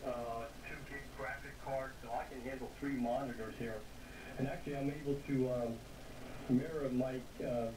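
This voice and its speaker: A man chatting casually as an amateur radio operator, in a halting, rambling manner. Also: medium pitch. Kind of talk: casual ham radio chat. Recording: roomy, noisy ham radio contact.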